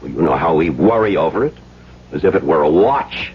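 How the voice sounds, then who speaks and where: A man's voice: deep voice, animated, a little fast, slightly rough, heavily acted. An actor playing an overbearing, indignant middle-aged businessman in a 1970s radio drama, with a blustery, hectoring manner. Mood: angry, argumentative. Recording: muffled, noisy old radio broadcast.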